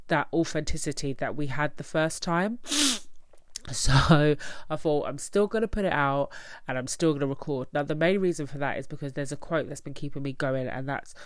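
A woman's voice: deep voice, no room sound. A young woman podcast host with a nasal, stuffy voice, under the weather, talking earnestly and encouragingly to her listeners. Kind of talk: solo reflective podcast talk.

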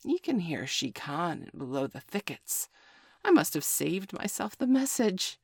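A woman voicing a small, fawning and spiteful male jackal who drawls his flattery in breathy whispers. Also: on the low side, no room sound, clean studio sound, exaggerated voice. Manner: wheedling, breathy whispers, drawn-out words.

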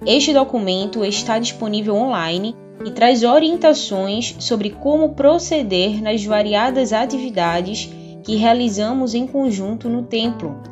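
A woman's voice: on the high side, muffled recording. Woman host, formal read, guitar bed. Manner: formal, measured. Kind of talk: scripted announcement.

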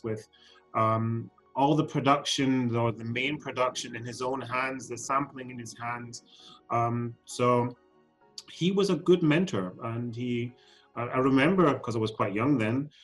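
A man's voice: mid-range voice, rough recording. Hesitant Scottish-born man in his forties. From Scotland. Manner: hesitant, many ums and restarts. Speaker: guest, fashion council CEO. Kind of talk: podcast interview.

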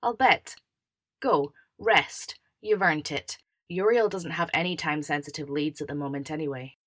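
A woman's voice: deep voice, moderate pace, fairly bright tone. A woman playing an overbearing, self-important elder brother with a preachy, over-hearty manner in a fan audio drama. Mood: hearty, patronizing.

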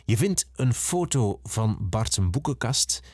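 A man's voice: medium pitch, big pitch swings, unhurried, darkish tone, slightly rough, no echo, clean studio sound. A man with a Flemish accent, a podcast host reading a scripted introduction.

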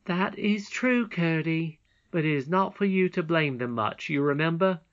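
A woman voicing a kindly country mother in a storybook, caring and gently admonishing. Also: deep voice, lively intonation, moderate pace, dull audio, voice pushed up. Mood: gently firm.

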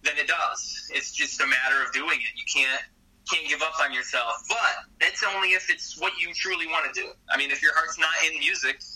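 Male host, casual, expressive delivery, somewhat high voice. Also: fairly quick, husky, recorded from a distance, rough recording. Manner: expressive, animated talker. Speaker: podcast host. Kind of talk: casual chat.

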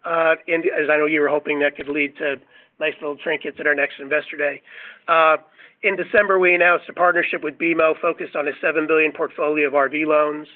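A male company executive giving a measured, businesslike answer on an earnings call over a phone line. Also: fairly high pitch, little pitch movement.

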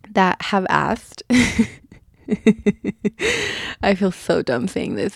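A woman's voice: mid-range voice, gravelly, darkish tone, dry recording, clean studio sound. A young woman from Utah hosting her own podcast, talking casually with a slight lisp, rambling with many ums and restarts. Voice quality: slight lisp on fast S sounds. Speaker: podcast host. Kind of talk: casual solo podcast chat.

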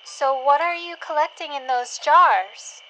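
A curious scavenger woman played by an actress in an audio drama, high-pitched and slow-spoken. She sounds gentle, hesitant and dreamy, with faint ambience behind her. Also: slight background noise, rough recording. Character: curious, wistful woman scavenger living by a landfill.

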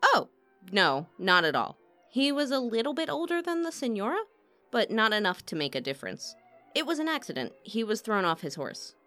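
A woman voicing a busy, matter-of-fact opera wardrobe mistress in an audiobook, chatty and brisk. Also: on the high side, very animated, sharp tone, faint music behind, voice pushed up. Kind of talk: audiobook character acting.

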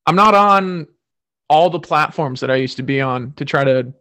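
A man's voice: on the high side, moderate pace, bright, forceful tone. Casual rambling podcast host man. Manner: loose, rambling, frequent fillers and restarts.

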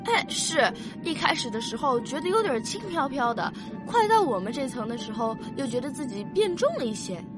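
A woman playing a bright, curious child on a spaceship in a sci-fi audio drama, asking questions precisely and excitably. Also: high-pitched, a little fast, few pauses. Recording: loud music bed behind voice.

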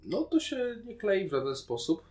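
A man's voice: sits high, huge pitch swings, moderate pace, darkish tone, soft background music. A man, a podcast co-host casually discussing a TV series in a colloquial, opinionated way.

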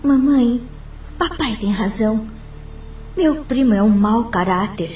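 An actress playing an outspoken, protective and distrustful daughter in a radio drama, blunt and insistent. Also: female voice, a little high, animated, a little slow, crowd noise. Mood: insistent, disapproving. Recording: echoey room, distant mic.

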